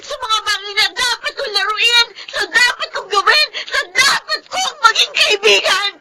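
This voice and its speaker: A woman's voice: very high-pitched, pushed and bright, raised voice, heavily acted. A woman with a Filipino accent playing a meek, pleading, tearful teenage daughter in a melodramatic radio drama. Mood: tearful protest. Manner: plaintive, halting, pleading.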